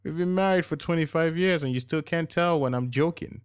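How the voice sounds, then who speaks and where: Loving, teasing wife of middle years, performed by a male reader, lifted pitch, playful and theatrical, for an audio story. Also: a little fast, clear, resonant tone, close to an everyday voice.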